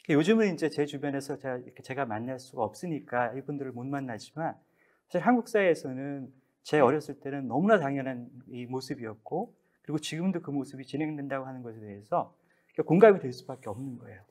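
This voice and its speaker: A man in his fifties from Korea's Gangwon region, a professor moderating a book talk in an earnest, slightly halting way. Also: medium pitch, animated, darkish tone. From Gangwon Province, Korea. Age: 50s.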